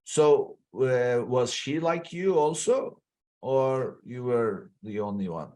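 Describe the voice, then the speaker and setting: A man hosting a podcast interview, asking friendly questions with halting restarts. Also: medium pitch, darkish tone. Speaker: podcast host. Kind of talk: interviewing a guest.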